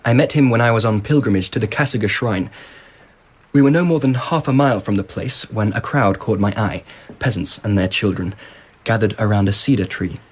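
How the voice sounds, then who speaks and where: Brief: husky, indulgent emperor, expansive and eager. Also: male voice, fairly low pitch, brisk. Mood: enthusiastic. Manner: expansive, enthusiastic anecdote-telling. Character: curious, indulgent male emperor of old Japan. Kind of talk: BBC radio drama acting.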